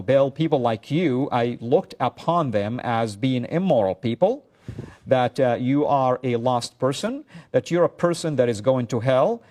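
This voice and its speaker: A middle-aged man from Saudi Arabia, hosting a talk show, speaking earnestly and measuredly with frequent pauses. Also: mid-range voice, a little mellow. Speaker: show host. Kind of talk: talk-show monologue to a guest.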